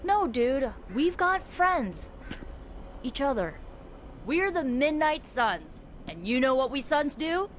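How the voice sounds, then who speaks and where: Casting: spirited youthful heroine, slangy team leader, determined game dialogue over clear background noise. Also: female voice, sits high, very animated, takes it slowly, exaggerated voice. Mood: earnest, rallying. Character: spirited young female superhero team leader, pep-talking, casual, determined. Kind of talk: video game voice acting. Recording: noisy game sound effects behind.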